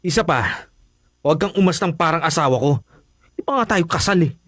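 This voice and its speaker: Cold, irritable Filipino boyfriend. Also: male voice, fairly high pitch, expressive, fairly quick, a bit bright. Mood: dismissive, annoyed. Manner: curt, scolding. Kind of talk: radio drama acting.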